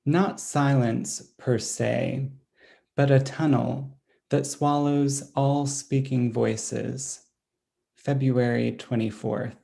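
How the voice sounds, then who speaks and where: A man reading another poet's diary-like poem aloud, slow and deliberate, closing each entry with a date. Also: medium pitch, vocal fry. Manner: deliberate, dated entries read flatly.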